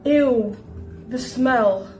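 A young woman in her twenties livestreams from her home kitchen, her voice sitting high. She chats casually and dramatically, groaning at smells and exclaiming as she goes. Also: extremely slow, warm tone, soft background music. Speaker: streamer. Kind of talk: casual livestream chat while cooking.

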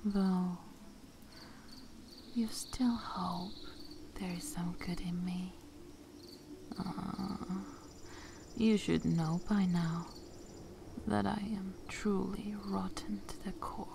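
A woman playing a seductive, vengeful vampire mistress in an ASMR roleplay, cruel yet loving, speaking in a breathy, often whispered voice with theatrical pauses. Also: medium pitch, fairly even intonation, noisy background. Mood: cold, resolute. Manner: slow, theatrical, with long pauses.

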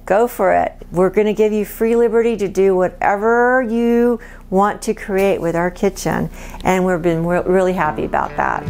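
A woman's voice: fairly low pitch, a little mellow. A middle-aged woman from Cuba, a native Spanish speaker, giving a casual guided tour of her home as its owner. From born in Cuba. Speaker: homeowner giving a house tour. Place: small wood-panelled house interior. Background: background music.

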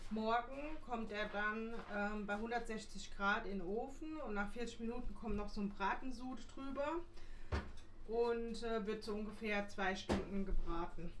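A woman's voice: medium pitch, normal speed. A woman in her thirties chatting informally and explaining things in a casual home vlog. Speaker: vlogger. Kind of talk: casual vlog chat while cooking and unboxing. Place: indoors at home, kitchen and living room. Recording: clear background hiss and kitchen noise.

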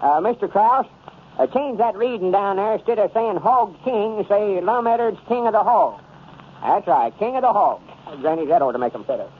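A man's voice: high-pitched, animated, moderate pace. An actor playing a vain old country man with a rural Southern US accent and a pompous drawl, in old radio comedy style. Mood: officious. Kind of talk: 1930s radio comedy acting. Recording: noisy, tinny old radio recording.

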